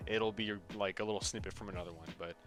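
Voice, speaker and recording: A young American man delivers casual comedic commentary with a sarcastic edge. He swears freely, and soft background music sits under his voice. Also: lowish voice, steady tone, fast talker. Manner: sarcastic, profane. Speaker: video creator.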